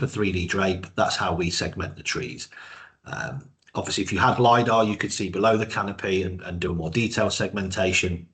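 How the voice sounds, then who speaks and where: Croaky low-voiced man with a cold. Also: fairly bright tone. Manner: hesitant, many repeated words. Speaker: presenter of a webinar. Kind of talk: webinar presentation.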